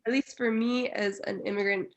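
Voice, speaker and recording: A woman's voice: medium pitch, fairly even intonation, normal speed, dull audio. A young woman from Barbados, a writer on a panel, speaking thoughtfully with hedges and restarts over a video call from a room at home. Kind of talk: casual panel conversation.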